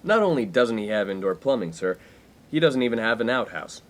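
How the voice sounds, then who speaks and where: A man's voice: lowish voice, lively intonation, brisk, close to an everyday voice. A man playing a brash young college friend in a comic radio play, pompous, wordy and playful. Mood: mock-formal, smug. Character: brash, inappropriate young male college friend, mock-formal prankster. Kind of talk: 1950s-set comic radio play acting.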